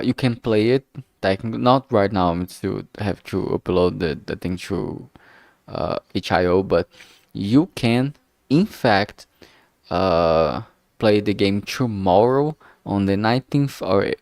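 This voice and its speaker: Slow-talking, halting man, low voice. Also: a little mellow, no echo, clean studio sound. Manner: halting, rambling, trailing off mid-phrase. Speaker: streamer, visual novel maker. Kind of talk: casual unscripted livestream progress update.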